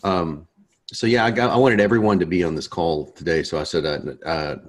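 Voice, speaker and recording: A man's voice: low voice, fairly even intonation, a little fast. A man hosting a course, joking in laid-back casual chat on a video call before the lesson. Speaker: course host.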